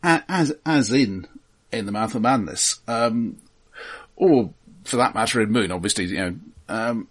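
Male podcast co-host, slightly rough voice, dry and opinionated, conversational film talk. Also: lowish voice, measured pace. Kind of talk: casual film discussion.

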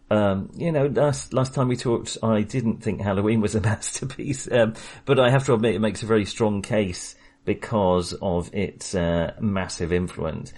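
A man's voice: lowish voice, darkish tone. A man co-hosting a casual film podcast, talking with many fillers and restarts. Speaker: podcast co-host.

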